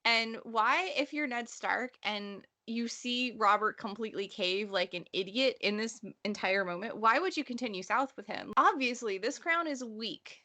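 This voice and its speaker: Very bright, emphatic woman host. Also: on the high side, normal speed, hardly any pauses. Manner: opinionated, casually sweary, emphatic. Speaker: podcast host. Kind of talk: casual book discussion.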